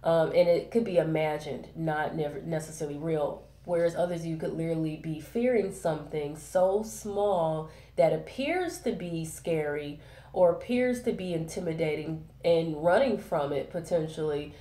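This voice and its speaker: A woman's voice: deep voice, moderate pace, mellow, reverberant. A woman with a head cold, sounding nasal, giving a chatty tarot reading full of fillers and check-ins. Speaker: tarot reader. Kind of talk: casual spoken tarot reading.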